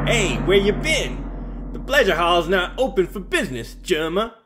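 A man's voice: high-pitched, exaggerated voice. A man playing a gleeful, cocky, taunting villain with a teasing drawl in a fan-made manga drama. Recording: very loud noise behind voice.

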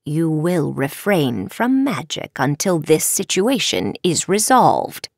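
Casting: a woman as a knowing, stern witch professor for a storybook; measured, formal delivery with very deliberate pacing. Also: low voice, big pitch swings, dry recording, clean studio sound, full character voice.

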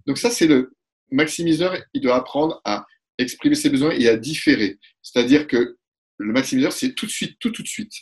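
A man in his forties, a couples therapist, explaining ideas in a lively webinar talk and acting out short exchanges, recorded through a webcam at home. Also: medium pitch, breathy edge. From Italy. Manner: animated, gestures a lot, acts out quick exchanges. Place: room at home. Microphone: webcam on a video call.

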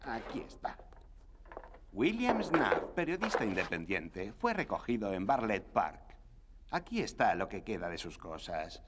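Dour caretaker type, wry grumble, lively intonation. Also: male voice, fairly low pitch, measured pace, slight background noise. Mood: grumbling. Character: grim male morgue keeper, grumbling.

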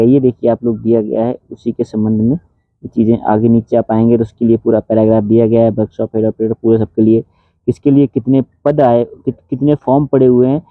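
Male exam-prep host, explanatory and informal, medium-pitched, thin phone-quality audio. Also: no echo. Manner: explanatory, addresses viewers directly. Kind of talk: informal news update, reading out an official notice. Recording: dull phone-like sound, dry.

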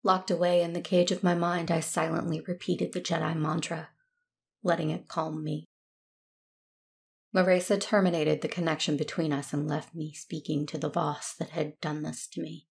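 Creaky-voiced, anguished former Jedi played by a woman. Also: deep voice, level tone, moderate pace, a little mellow. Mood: anguished, desperate. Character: anguished former Jedi Guardian trapped in their own mind. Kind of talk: first-person audio drama narration. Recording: noisy ambient sound bed.

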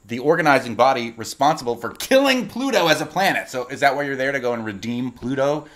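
Man, middle-aged, bright forceful voice, medium pitch, casual interviewer, handheld mic. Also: animated, fast talker. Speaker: interviewer and show host. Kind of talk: casual, joking interview chat. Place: bright room at a table indoors. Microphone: handheld interview microphone.

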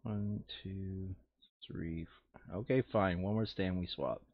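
A man's voice: low voice, steady tone, slow pace, dry recording. Dry, muffled man playing a tabletop game. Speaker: board game player reading out scenario text. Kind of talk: casual tabletop game play, mixing chat with read-aloud text.

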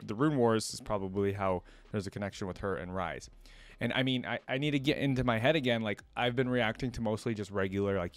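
A man's voice: on the low side, dry recording. A young man hosting a reaction video in a casual, conversational way, commenting and reading aloud as he thinks things through. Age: young adult. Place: indoor home streaming setup.

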